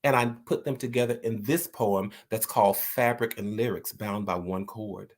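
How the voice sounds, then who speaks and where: Earnest man reciting his own poetry. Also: lowish voice. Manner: earnest, encouraging, repeats words while searching for them; slow, measured recital when reading the poem. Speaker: poet and channel host. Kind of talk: talk to viewers, then poetry reading.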